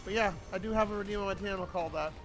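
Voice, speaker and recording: High-voiced man streaming casually. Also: racing. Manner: informal, rambling, profane. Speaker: live streamer. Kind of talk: casual chat while gaming. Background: video game music.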